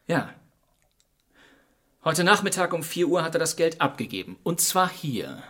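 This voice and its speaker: An actor playing a self-assured, probing gentleman detective in a German radio crime drama, polite and formal. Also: a little high, big pitch swings, measured pace, exaggerated voice. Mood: composed, revealing.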